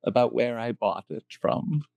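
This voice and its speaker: A man's voice: mid-range voice, a little slow, creaky, a little mellow, no echo, lo-fi sound. A man voicing a coy, teasing old grandmother who trades in information, in a live tabletop game.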